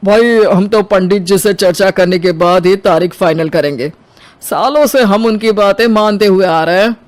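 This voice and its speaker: A man's voice: high-pitched, moderate pace, no echo, close to an everyday voice. A man voicing a conservative, opinionated older father in a Hindi cartoon. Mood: firm. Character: conservative older father of the groom, stuffy, traditional.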